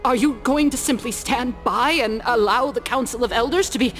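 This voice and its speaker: An actress playing a haughty, indignant council elder in a video game, speaking formally and defensively. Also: female voice, on the high side, normal speed, fairly bright tone, lightly acted. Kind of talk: video game cutscene voice acting. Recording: background music behind the voice.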